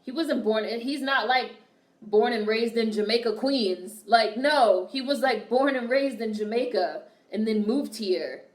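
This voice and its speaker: A woman's voice: a little high, a little slow. A young woman hosting a live watch-along stream, talking bluntly and candidly to her chat. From United States. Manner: blunt, candid and profane, reacting to chat comments. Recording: roomy sound, voice distant from the mic.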